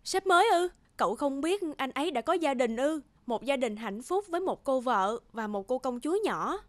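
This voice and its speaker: A woman's voice: high-pitched, lively intonation, moderate pace, no echo. A woman voicing a chatty, teasing young office colleague in a cartoon. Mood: surprised. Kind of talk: cartoon dubbing. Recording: music under the voice.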